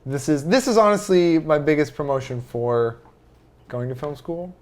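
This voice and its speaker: A man's voice: a little high, moderate pace, darkish tone. A young adult man hosting a podcast in a casual, chatty style. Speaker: podcast host. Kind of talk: casual chat.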